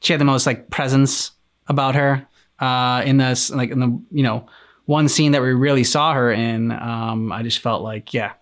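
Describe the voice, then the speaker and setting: A man with a medium-pitched voice speaks as a casual co-host of a reaction show. He talks in a loose, conversational way. His delivery is halting, with phrases broken up as he searches for words. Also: fairly even intonation. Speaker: co-host of game reaction channel. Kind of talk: casual discussion, channel chat.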